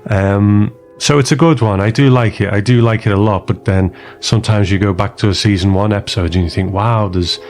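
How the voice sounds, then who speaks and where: A man hosting a podcast, closing the episode in a relaxed way, thinking aloud. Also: lowish voice, fairly quick, few pauses. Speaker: podcast host. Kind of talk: casual chat.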